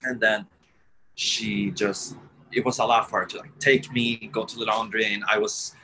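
A man recalls his childhood as an interview guest, speaking softly and haltingly and often restarting his sentences. His voice sits fairly low but sounds bright and forward. A faint hiss of noise runs under the recording. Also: flat delivery, poor audio. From Sweden. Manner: soft-spoken, halting, many restarts. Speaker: guest, author. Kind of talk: reflective interview storytelling.

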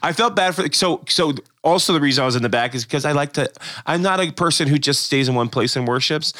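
A man's voice: a little high, fast, no echo, studio quality. A man co-hosting a church podcast in casual chat, a kids pastor who laughs often and restarts his sentences. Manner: animated, laughing, many restarts.

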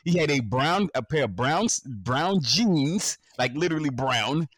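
A man's voice: a little high, expressive, a little slow, a bit bright, breathy edge, no room sound, clean studio sound. An American man chatting casually, telling teasing stories in slangy, wry speech. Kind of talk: casual chat, teasing storytelling.

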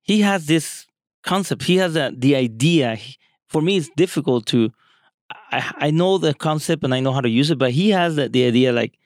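A man co-hosting a casual podcast, halting and searching for words. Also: fairly high pitch, animated, dry recording, studio quality. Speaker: podcast co-host.

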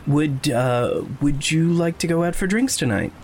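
A man acting a shy, flustered guy in an audio drama, with a lively, swinging pitch. He is hesitant and earnest, and faint rain sits behind him. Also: measured pace, slight background noise. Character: shy, flustered male regular in a fantasy drama. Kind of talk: naturalistic fiction podcast acting.

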